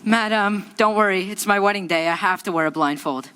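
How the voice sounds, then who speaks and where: A young actress playing a clumsy, cheerful young groom, breezy and chatty, who breaks into song on stage. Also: female voice, mid-range voice, fairly quick, bright, forceful tone, clear, resonant tone, lightly acted. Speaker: child actor in a musical.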